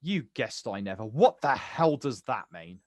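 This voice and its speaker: An actor playing a bitter, protective older brother, confrontational and incredulous, in a naturalistic stage drama. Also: male voice, mid-range voice, big pitch swings, a little slow, no room sound, clean studio sound. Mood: incredulous, accusing. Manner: confrontational, incredulous, clipped questions.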